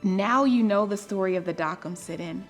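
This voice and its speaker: A woman reading a children's story aloud in a deliberate, expressive and dramatic storytelling manner. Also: mid-range voice, faint music behind. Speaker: storybook reader.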